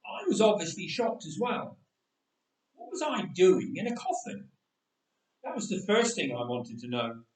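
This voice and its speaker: A man's voice: high voice, wildly swooping pitch, measured pace, heavily acted. A man playing a chatty, wry young man of Bible times who tells of being raised from death, in a dramatic first-person monologue. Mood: bemused. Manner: conversational, wry understatement. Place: church, voice echoing. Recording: roomy church echo, distant microphone.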